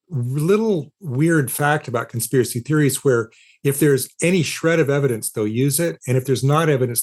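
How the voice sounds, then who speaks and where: A man's voice: mid-range voice, a little mellow. Man explaining as an interview guest. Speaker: interview guest, philosopher of science and author.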